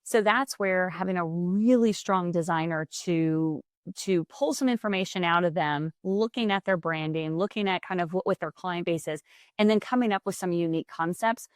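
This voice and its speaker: Woman publisher guest on a podcast, quick animated chatter, dry close sound. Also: fairly low pitch, no echo. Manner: animated, quick, frequent restarts. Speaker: publishing company CEO, guest. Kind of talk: casual podcast conversation.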